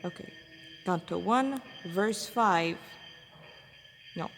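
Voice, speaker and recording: A woman's voice: on the low side, extremely slow, creaky, fairly bright tone, slight background noise. Echoey woman reading her own story. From Montreal, Canada. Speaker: fan fiction writer and reader. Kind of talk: amateur read-aloud of own fan fiction with informal introduction. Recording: echoey room with long reverb.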